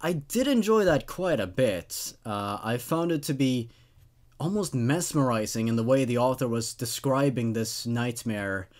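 A man's voice: mid-range voice, expressive, a little slow, a little mellow. A man in his twenties, a non-native English speaker, hosting and narrating horror stories into a close studio microphone. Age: 20s. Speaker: YouTube host reading horror stories. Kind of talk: story narration and casual commentary. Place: small dark indoor room. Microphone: studio microphone on a boom arm, close.

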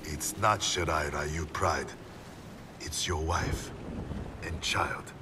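An actor playing a vengeful, grave ninja clan master in a video game, speaking formally and solemnly. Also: male voice, a bass voice, fairly even intonation, slow, noisy background. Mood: grave, intense. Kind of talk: dramatic video game cutscene acting. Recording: loud music behind the voice.

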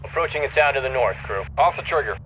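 Actor as fast-talking gunship crewman. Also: medium pitch, expressive, highs cut off, background noise, lightly acted. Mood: focused. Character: focused gunship crewman calling out targets. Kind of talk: clipped procedural military callouts.

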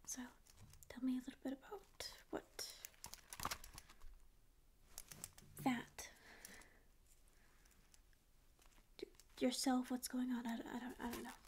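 A woman's voice: high voice, level tone, fairly quick, a little hiss or hum, lightly acted. A young woman whispering as a prickly sleep paralysis demon who insists she doesn't care but keeps listening and reassuring, close to the mic in a small dim room. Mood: grumpy, curious. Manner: whispering, curt denials that soften into reassurance. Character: prickly sleep paralysis demon, secretly caring, faux-apathetic. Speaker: ASMR roleplayer. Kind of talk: whispered ASMR roleplay. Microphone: close studio mic just off-frame.

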